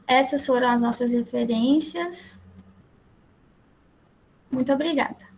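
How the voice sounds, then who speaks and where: A woman with a Brazilian accent presenting slides as a lecturer, explaining in a halting way. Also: sits high, a little mellow, low-quality recording. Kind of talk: slide presentation to health professionals. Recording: clear background noise.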